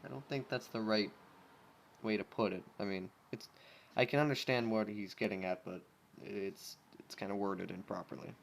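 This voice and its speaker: A man's voice: fairly low pitch, fairly even intonation, normal speed, vocal fry. Exasperated man reading fan fiction. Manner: exasperated, sarcastic. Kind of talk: casual story reading with ranting asides.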